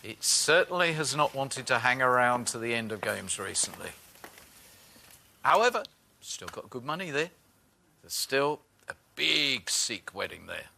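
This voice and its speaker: A man's voice: mid-range voice, sing-song, unhurried, gravelly, long pauses, pushed and bright. A British man hosting a game show, effusive and full of praise for the contestant. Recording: studio with music and audience.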